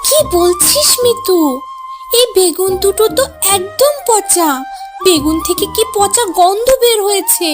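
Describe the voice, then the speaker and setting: A woman's voice: very high-pitched, very animated, measured pace, over music, heavily acted. A woman voicing a caring but chiding animal mother in a children's cartoon. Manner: chiding, coaxing. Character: fussing, caring animal mother of a young girl.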